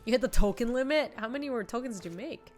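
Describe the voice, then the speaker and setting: A woman's voice: fairly high pitch, animated, quick pace, soft background music. Congested young woman streaming a card game. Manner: chatty, thinks aloud, answers chat. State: congested with a cold. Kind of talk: casual live-stream commentary. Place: home streaming room, indoors.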